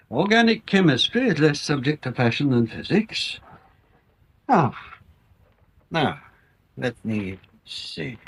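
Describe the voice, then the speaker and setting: A man's voice: on the high side, slightly rough, exaggerated voice. A man playing a bookish, genial English amateur chemist in a radio drama, musing aloud in a donnish way. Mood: absorbed, explanatory. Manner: musing, donnish, unhurried asides.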